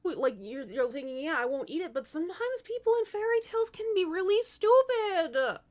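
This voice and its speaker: A woman's voice: extremely high pitch, lively intonation, brisk, pitched above the performer's own voice. A woman voicing a tipsy, blunt beggar woman in a comic fairy tale, rambling in sassy slang. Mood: rambling, advising. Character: tipsy, blunt, foul-mouthed beggar woman giving magical advice.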